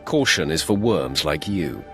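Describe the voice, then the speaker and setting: A Sith apprentice with a very low, gravelly voice. He is cold and arrogant, delivering curt, sardonic threats as in a dramatic game cutscene. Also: animated, a little slow, over music, exaggerated voice. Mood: scornful menace. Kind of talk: video game cutscene acting.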